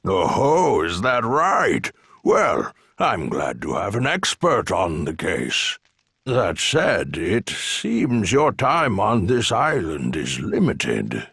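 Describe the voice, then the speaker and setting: An actor playing a gentle old amnesiac island hermit in a video game, with a husky, gravelly voice and a courteous, wistful manner. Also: male voice, sits low, wildly swooping pitch, takes it slowly, no echo, clean studio sound, exaggerated voice. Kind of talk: video game cutscene acting.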